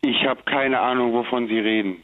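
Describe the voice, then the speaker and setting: A man's voice: mid-range voice, fairly even intonation, normal speed. A man answering a phone call in a casual, defensive way over a tinny telephone line. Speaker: prank call recipient.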